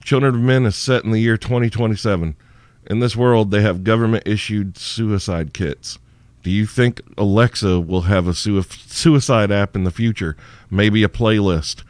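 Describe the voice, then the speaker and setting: Low-voiced, sleepy, profane male podcaster. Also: moderate pace, darkish tone, dry recording. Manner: profane, irreverent, rambling. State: sleepy, tired. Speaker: podcast host. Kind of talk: crude solo Q&A podcast.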